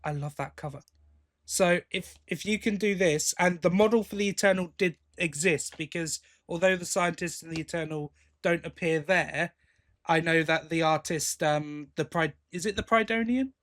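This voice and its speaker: A man's voice: fairly high pitch, moderate pace, fairly bright tone. A man co-hosting a podcast, chatting casually about news. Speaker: podcast co-host. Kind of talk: casual chat about sci-fi audio drama news.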